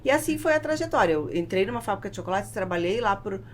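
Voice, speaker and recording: Woman, Brazilian accent, podcast guest, conversational storytelling, emphatic and deliberate, ambient noise behind. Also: medium pitch, lively intonation. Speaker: podcast guest, food business founder. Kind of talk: personal storytelling in a conversational interview. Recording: clear background noise, room ambience.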